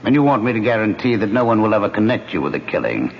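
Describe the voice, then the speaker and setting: A man's voice: lowish voice, very animated, quick pace. An actor playing a smug, scheming man who coolly goads an accomplice, in old radio mystery style. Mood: coolly persuasive. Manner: smug, mocking, suavely persuasive. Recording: muffled, noisy old radio drama recording.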